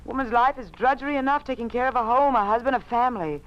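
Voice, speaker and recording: An actress playing an indignant, outspoken women's-page editor in a 1950s radio drama, emphatic and articulate in protest. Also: female voice, on the high side, fast talker, natural voice. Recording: muffled old radio recording.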